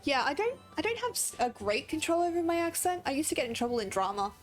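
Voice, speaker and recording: Young woman game streamer, Australian accent slipping American, rambling talk, slightly high voice. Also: very animated, fast talker, faint music behind. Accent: Australian with American drift. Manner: chatty, rambling, laughs often. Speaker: gaming streamer. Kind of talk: casual livestream chat while playing.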